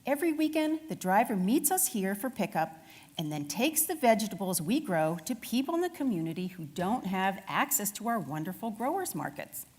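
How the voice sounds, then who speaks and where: A woman's voice: mid-range voice, sing-song, moderate pace, darkish tone, breathy edge, long reverb tail. A woman voicing a welcoming male farmer in a children's storybook, hospitable as he explains things. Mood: explaining.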